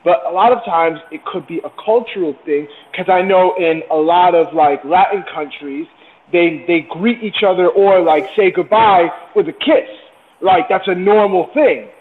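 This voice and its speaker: A man in a casual podcast chat who thinks out loud at a measured pace. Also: high voice, long reverb tail, narrow, tinny audio. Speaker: podcast co-host.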